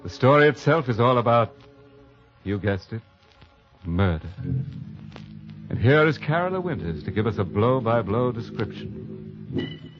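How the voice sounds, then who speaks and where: A ghoulishly playful male host of a 1950s radio horror show. He draws his words out slowly and mockingly, relishing the macabre. The old recording is muffled, with music behind him. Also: medium pitch, wildly swooping pitch. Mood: teasing, ominous. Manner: ghoulish joking, drawn-out teasing delivery.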